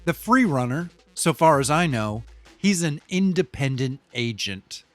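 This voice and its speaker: A man's voice: a little high, lively intonation, measured pace, no room sound, soft background music. A man playing a cynical, pragmatic business type in an audio drama monologue, matter-of-fact, opinionated and conversational as he explains things. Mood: blunt, opinionated.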